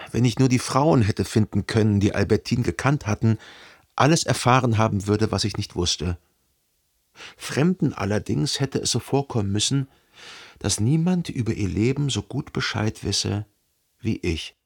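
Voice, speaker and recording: A husky, brooding male narrator. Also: on the low side, full character voice. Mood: wistful, reflective. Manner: reflective, measured, long deliberate sentences. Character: brooding, jealous, introspective male first-person narrator in love. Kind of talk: literary radio drama narration, first person.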